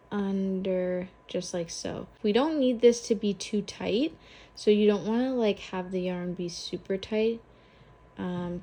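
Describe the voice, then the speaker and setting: Woman with a cold teaching knitting. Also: mid-range voice. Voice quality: slightly congested from a cold. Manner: chatty and relaxed, thinking aloud while counting stitches. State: has a cold. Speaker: knitting tutorial host. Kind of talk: casual step-by-step craft tutorial.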